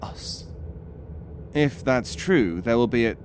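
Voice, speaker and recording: A man's voice: mid-range voice, lively intonation, slow pace, a little mellow, slight background noise. A man playing an uneasy, stern doctor in an audio horror drama, speaking with formal, clinical control. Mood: clinical, probing. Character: uneasy, stern British male doctor interrogating a prisoner.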